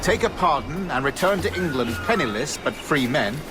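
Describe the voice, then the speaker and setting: An actor playing a smug, cultivated colonial governor in a video game, with formal, measured period speech. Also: male voice, a little high, lively intonation, music in the background, close to an everyday voice, rough recording. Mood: smug, gloating. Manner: formal, measured, self-satisfied. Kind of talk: dramatic period game dialogue.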